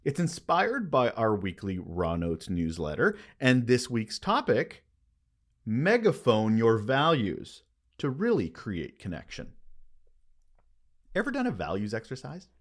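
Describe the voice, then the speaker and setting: A man hosting a podcast, delivering a conversational scripted monologue with emphatic, expressive phrasing and dramatic pauses. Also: mid-range voice. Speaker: podcast host.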